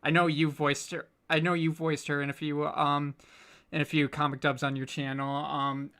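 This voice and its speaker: Bright sharp voice, halting and stammering, casual panel host, online call. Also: medium pitch, level tone. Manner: halting, stammering, repeats word beginnings.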